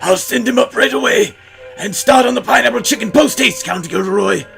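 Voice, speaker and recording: Very high-voiced elderly butler, sullen, dutiful, formal, straining to enunciate, storybook character, music behind. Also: male voice, fairly quick, creaky, a bit bright, heavily acted. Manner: straining to speak intelligibly, formal servant diction.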